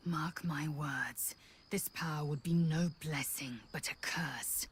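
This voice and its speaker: Proud, low-voiced alien warrior. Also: female voice, takes it slowly, husky, full character voice. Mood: stern warning. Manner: haughty, formal, clipped and severe. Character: proud, fierce, disdainful Githyanki warrior woman. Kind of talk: dramatic fantasy video game acting.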